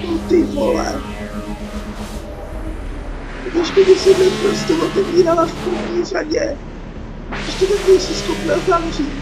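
A woman's voice: very high voice, fairly even intonation, over loud music. A Czech woman with a cold giving casual, sweary game commentary, reading out dialogue and reacting with exasperation. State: sick with a cold. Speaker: gaming YouTuber. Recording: muffled mic with background noise.

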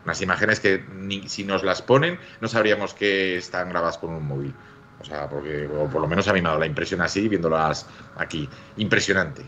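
A man's voice: low-pitched, brisk, a bit bright, slight background noise. A middle-aged man with an accent from Spain, talking emphatically in a casual tech discussion on a video call. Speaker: livestream panelist. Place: indoors at home, video call.

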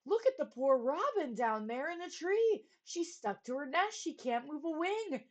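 Woman doing a high, urgent young page boy. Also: sing-song, unhurried. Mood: worried. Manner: high, urgent, alarmed calling. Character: excitable boy page, earnest and worried.

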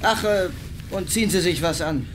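A man's voice: high voice, moderate pace, background noise, close to an everyday voice. An actor playing a taunting, self-assured, menacing male lawyer in a German radio crime drama. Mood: smug, menacing. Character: menacing, smug male lawyer blackmailing a passenger.

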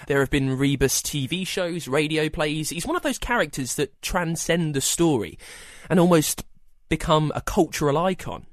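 A man's voice: a little high, expressive, normal speed, no room sound, clean studio sound. A man hosting a podcast, introducing and interviewing in a scripted presenter's style.

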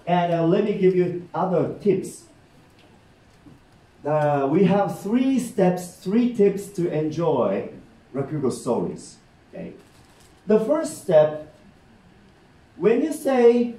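A middle-aged man with a Japanese accent telling comic stories live to an audience, animated and checking in often. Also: fairly high pitch. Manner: animated, warm explaining with gestures and frequent "okay?" checks. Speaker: rakugo storyteller. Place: meeting room, indoors. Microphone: far camera in the audience. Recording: roomy sound from a distant camera.

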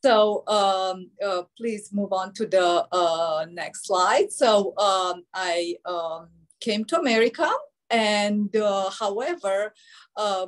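A middle-aged woman from Romania with a Romanian accent, presenting on an online panel over a video call, with frequent hesitations. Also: medium pitch. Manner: frequent hesitations with many uh fillers.

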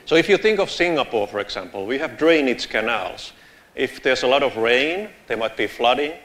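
A man from Finland in his thirties with a Finnish accent, giving a casual, joking conference talk on a lapel mic in a large lecture theatre. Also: medium pitch. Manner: casual, joking, lively gestures while walking the stage. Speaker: conference speaker.

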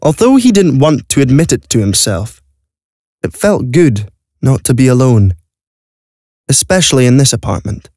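A man's voice: medium pitch, big pitch swings, normal speed, long pauses, a little mellow, no room sound, clean studio sound. A man reading scripted story narration for an audio play. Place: recording studio.

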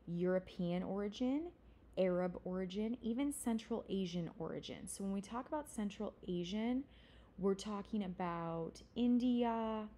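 Woman instructor, mellow tone, casual explanatory lecture style with self-corrections. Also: mid-range voice. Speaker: college instructor. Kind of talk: recorded lecture.

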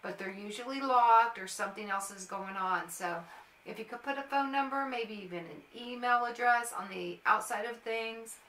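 A middle-aged American woman talks casually to camera, handing out practical advice. Her voice is bright and forceful, and her delivery is informal and emphatic. She sounds a little way from the mic in a roomy, echoey space. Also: fairly high pitch, normal speed, far from the mic. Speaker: airport agent and vlogger.

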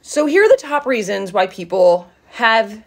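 A woman in her thirties hosting a casual live discussion as a fitness coach, animated and emphatic, recorded on a phone in a small office. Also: mid-range voice, unhurried. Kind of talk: casual live discussion, reading viewer comments. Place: small office with drop ceiling.

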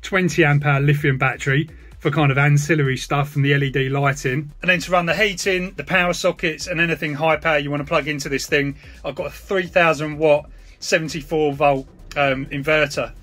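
Male vlogger, 40s, somewhat high voice, casual explainer delivery, faint music behind. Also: steady tone, brisk, fairly bright tone. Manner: informal, chatty. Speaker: radio hobby vlogger. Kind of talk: casual explanatory vlog to camera.

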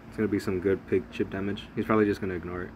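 A man's voice: low voice, level tone, very fast, a little mellow, slight background noise. A young man rambling through casual, profane play-by-play of a mobile strategy game as a gaming channel host. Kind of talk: casual gameplay commentary.

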